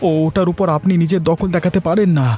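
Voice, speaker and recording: A man's voice: high-pitched, fairly even intonation, quick pace, warm tone, faint music behind, close to an everyday voice. A man voicing a defiant, wronged villager in a cartoon, protesting indignantly. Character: defiant wronged male villager defending his pond. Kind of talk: cartoon dialogue.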